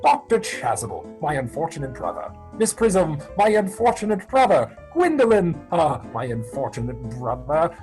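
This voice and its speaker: A man performs an earnest, excitable young gentleman in a comic play. His delivery is theatrical and swings widely in pitch. A light score plays underneath. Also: normal speed, few pauses, faint music behind. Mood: elated. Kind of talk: stage comedy dramatic reading. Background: musical score.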